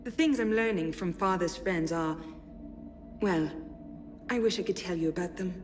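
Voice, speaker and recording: An actress playing a spirited young French noblewoman in a video game, reading letters aloud in an expressive, measured, articulate way. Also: on the low side, over music, natural voice. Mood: wistful.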